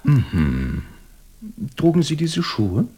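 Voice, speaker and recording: A man's voice: medium pitch, wildly swooping pitch, drawn-out words, dark tone, slightly rough, exaggerated voice. A man acting a shrewd, methodical British police inspector in a German radio crime drama, speaking standard German in a probing, ironically polite way. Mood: probing, dry. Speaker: actor playing a police inspector.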